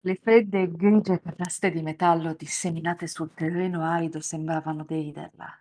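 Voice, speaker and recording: A woman's voice: low-pitched, steady tone, moderate pace, warm tone. A woman hosting a live reading, moving between scripted narration and casual chat with co-readers. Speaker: host and narrator of a live visual novel reading.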